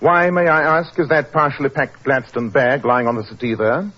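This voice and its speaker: An actor playing an incisive, dryly superior gentleman detective in a 1940s radio drama, a man with an upper-class English accent and clipped, precise speech. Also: mid-range voice, fairly even intonation, moderate pace, natural voice. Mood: brisk, commanding. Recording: muffled, noisy 1940s radio recording.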